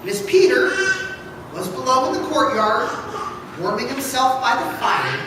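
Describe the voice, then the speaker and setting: A male pastor giving a solemn, dramatic scripture reading with measured, emphatic phrasing. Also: very high voice, big pitch swings. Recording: echoey, noisy hall from far off.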